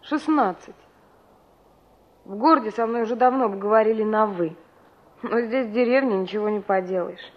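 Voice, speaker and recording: A woman's voice: mid-range voice, normal speed, pauses often, natural voice. An actress playing an earnest, stubborn sixteen-year-old village girl in a Soviet radio play, prim and insistent. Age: teenage character. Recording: dull 1960s radio play recording.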